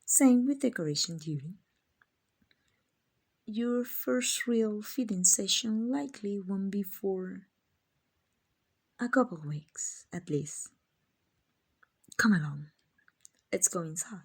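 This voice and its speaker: Woman doing a kind vampire lady asmr, really slow and breathy, whispery, sweet coaxing owner vibe. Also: mid-range voice, animated, heavily acted. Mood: doting, reassuring. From Mexico. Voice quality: soft, breathy, often dropping to a whisper. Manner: gentle, coaxing, slow and reassuring. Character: kind, doting, genteel female vampire owner, soothing and possessive. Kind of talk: ASMR roleplay.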